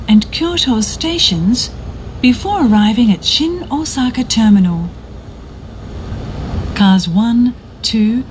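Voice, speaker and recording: A woman reading a scripted train announcement in a formal, courteous, measured way. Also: fairly high pitch, a little mellow. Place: inside a moving bullet train. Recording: noisy train cabin, announcement over speakers. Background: train running noise.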